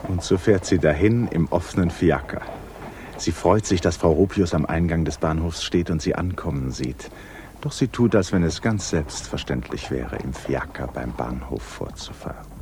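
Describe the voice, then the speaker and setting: An actor playing a measured literary storyteller, narrating a 1950s German radio drama. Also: male voice, low voice, darkish tone. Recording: noisy 1950s radio recording.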